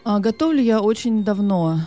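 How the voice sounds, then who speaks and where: A woman chef from Azerbaijan, raised in Russia, answering calmly as a radio studio guest. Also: mid-range voice, moderate pace, soft background music.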